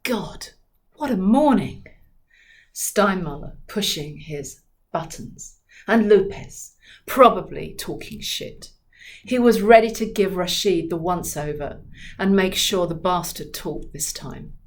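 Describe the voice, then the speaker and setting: A woman author reading in an expressive, dramatic storytelling style with deliberate delivery. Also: on the low side. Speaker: author reading from own book.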